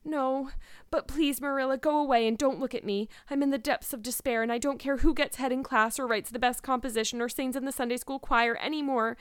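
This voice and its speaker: Lady doing a dramatic young girl from a storybook, high clear voice, all earnest despair and pleading. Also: fairly even intonation, a little fast, no echo, studio quality, natural voice. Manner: melodramatic, pleading, effusive.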